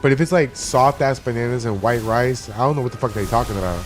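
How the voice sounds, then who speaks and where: A man's voice: mid-range voice, fast. A man from Miami chatting casually and profanely while streaming a game, rambling from one topic to the next. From Miami, USA. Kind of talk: casual live-stream chat while gaming. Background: game music.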